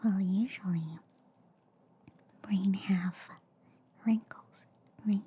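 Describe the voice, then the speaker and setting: A woman voicing a friendly, slightly shady alien girl doctor in a whispered ASMR roleplay, soothing and hesitant with soft repeated reassurances. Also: medium pitch, drawn-out words, lo-fi sound. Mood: gently explaining. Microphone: close ASMR microphone. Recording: faint background noise, close-up ASMR.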